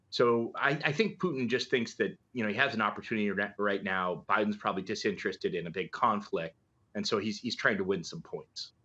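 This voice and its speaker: Male political commentator, middle-aged, on the low side, conversational, thinks aloud with rhetorical questions, lo-fi interview audio. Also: a bit bright. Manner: hesitant, conversational, stressing points with rhetorical questions. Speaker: political analyst and journalist guest. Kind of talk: live news interview commentary. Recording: dull, lo-fi remote link.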